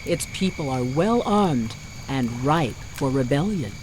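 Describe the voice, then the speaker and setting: Slow, dramatic fantasy game narrator. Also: medium pitch, animated, background noise. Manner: dramatic, deliberate storytelling.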